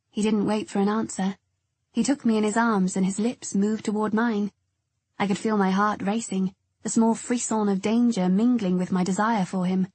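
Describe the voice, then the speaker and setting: A woman's voice: medium pitch, dark tone, breathy edge, dry recording. A woman reading scripted first-person narration from a novel as an audiobook narrator.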